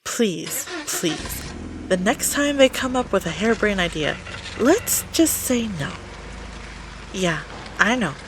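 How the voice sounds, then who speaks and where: A woman playing an exasperated, jumpy friend caught up in a haunted-house outing, sarcastic and expressive, in an audio roleplay. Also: mid-range voice, takes it slowly, background noise. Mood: weary, resigned.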